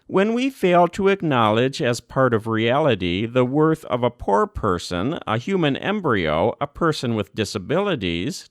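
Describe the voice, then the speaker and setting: A man reading a formal religious text as scripted narration, with measured, deliberate phrasing and emphatic pauses. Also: medium pitch, no echo, studio quality. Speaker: volunteer audiobook narrator.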